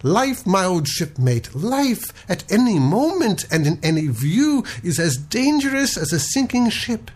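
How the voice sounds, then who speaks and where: A man voicing a calm, philosophical sea captain who reasons in a gentle, lofty manner. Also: high-pitched, measured pace, hardly any pauses, pitched above the performer's own voice, exaggerated voice. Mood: lecturing.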